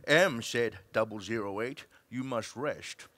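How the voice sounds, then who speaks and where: A man's voice: medium pitch, a little slow, fairly bright tone, no room sound. A man performing an emphatic, dramatic reading of a comic story as an actor. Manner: theatrical, emphatic delivery.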